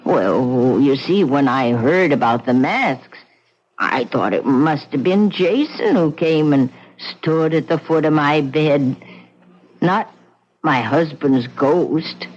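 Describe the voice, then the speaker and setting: An actress playing a frail, grieving old widow in a radio drama, speaking hesitantly and stammering. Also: female voice, low-pitched, slow pace, a little mellow. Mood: uneasy. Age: older. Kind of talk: 1970s radio drama acting. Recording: muffled old radio broadcast.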